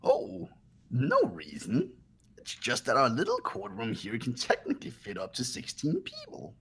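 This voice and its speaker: Guy doing a creepy gleeful bear mascot from a game, breathy, theatrical, pitch all over the place. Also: huge pitch swings, moderate pace, sharp tone, heavily acted. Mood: mock cheerful.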